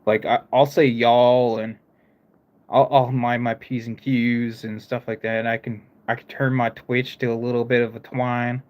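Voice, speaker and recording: A young man whose American accent blends northern and southern sounds. He chats loosely with his viewers on a live stream, and he keeps halting and starting his sentences again. His voice sits at an ordinary pitch for a man. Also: level tone. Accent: mixed American, northern and southern. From United States. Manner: rambling, stops and restarts, talks to chat. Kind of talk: casual live-stream chat.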